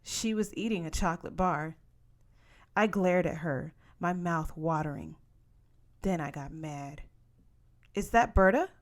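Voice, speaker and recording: A woman's voice: deep voice, steady tone, unhurried. Woman reading a children's story expressively. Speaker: story reader.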